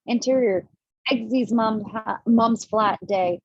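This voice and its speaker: A woman's voice: a little high, slow pace, no echo, dull audio. A woman reading stage directions aloud in an amateur online table read, casual and chatty, with asides and corrections.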